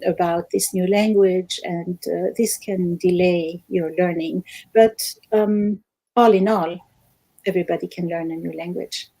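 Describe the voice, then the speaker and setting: A woman, a language teacher, giving measured explanatory interview answers over a video call, with frequent ums. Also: lowish voice, warm tone, no room sound, low-quality recording. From Algerian American, born in Morocco. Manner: measured, explanatory, many fillers.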